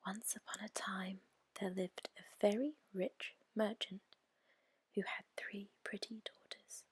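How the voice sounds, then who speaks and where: A woman reads a bedtime story in a gentle, soothing ASMR whisper, breathy and measured, close to the microphone. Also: medium pitch, little pitch movement, no room sound.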